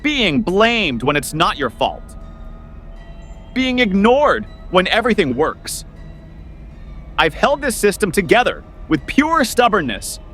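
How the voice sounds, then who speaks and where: Man voicing high, sharp, exasperated keyboard key. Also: big pitch swings, takes it slowly, long pauses, faint music behind, full character voice. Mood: exasperated, indignant. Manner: emphatic, exasperated, clipped declarations. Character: stubborn, long-suffering Control keyboard key demanding respect. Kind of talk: comedic puppet show interview.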